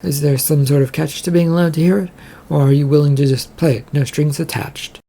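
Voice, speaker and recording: A narrator plays a guarded crewwoman in a sci-fi audiobook. The voice lifts in pitch and stays husky, with a skeptical, questioning manner. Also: fairly even intonation, a little fast, dark tone. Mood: suspicious.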